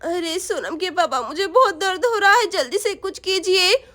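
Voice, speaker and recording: A woman's voice: very high voice, normal speed, sharp tone. A woman voicing an injured mother who pleads tearfully for help. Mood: pleading.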